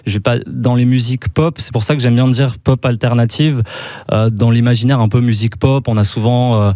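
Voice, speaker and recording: A man's voice: mid-range voice, fairly quick, no echo. Male guest, easygoing and talkative, mid voice, dry studio mic. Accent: French. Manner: relaxed, chatty, many fillers and laughs. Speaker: musician guest. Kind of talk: casual radio interview. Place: radio studio.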